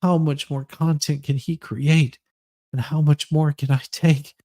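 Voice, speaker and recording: A man acts out an aggrieved woman in her thirties, solemn and theatrical, in a comic dramatic reading. The voice is gravelly and dry, heavy with self-pity. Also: fairly high pitch, steady tone, a little slow, warm tone, no echo. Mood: exhausted, pleading.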